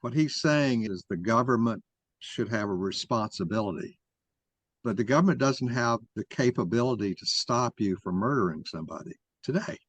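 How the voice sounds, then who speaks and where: A man's voice: medium pitch, expressive, normal speed, slightly rough, no room sound. A man moderating a mock trial discussion and arguing his own view as he goes. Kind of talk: argumentative discussion.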